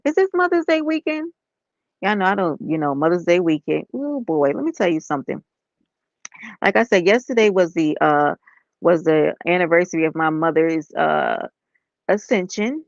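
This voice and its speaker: A woman, slightly hoarse, talking softly and casually to viewers on a live stream from bed. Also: lowish voice, lively intonation, normal speed, dry recording, dull audio. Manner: soft-spoken, talks straight to viewers as y'all and honey.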